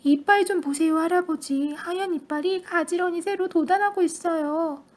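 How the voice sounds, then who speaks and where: A woman doing an excitable young boy. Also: extremely high pitch, normal speed, voice pushed up. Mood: amazed. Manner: earnest, excitable.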